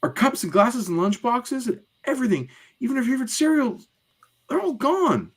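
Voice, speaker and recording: A man voicing a lively, bossy school-age girl from a comic, in an exclamatory manner. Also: extremely high pitch, moderate pace, slightly rough, pitched above the performer's own voice, exaggerated voice. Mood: alarmed.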